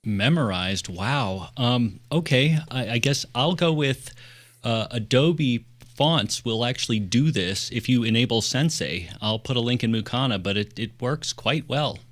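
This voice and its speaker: A man's voice: mid-range voice, animated, a little slow, a bit bright. A man on a panel giving casual tech advice.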